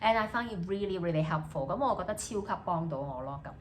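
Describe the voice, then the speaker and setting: A young woman from Hong Kong, a native Cantonese speaker, talking casually in a video diary. She speaks with a Hong Kong accent, hesitates often, fills pauses, and repeats each thought in English and then again in Cantonese. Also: on the low side, expressive, a little hiss or hum. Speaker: student.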